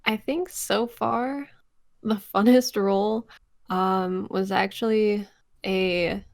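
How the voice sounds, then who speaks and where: A young woman with noticeable vocal fry and a mid-range pitch, recorded close and dry. She talks informally and in a giggly, animated way, like a guest in a relaxed interview. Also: no echo. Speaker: voice actor guest. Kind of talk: casual interview chat.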